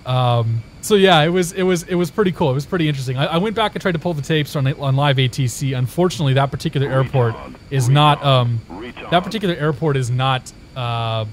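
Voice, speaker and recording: A man's voice: medium pitch, quick pace, slight background noise, low-quality recording. A young man from Indiana, a live streamer, chatting casually with viewers and telling a story in a rambling way, with many restarts.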